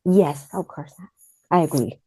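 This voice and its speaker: A woman, a native Cantonese speaker, answering in a mock speaking exam in halting English with a Cantonese accent, often stopping to search for words. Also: sits low, dark tone, slightly rough, no echo. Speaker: exam candidate.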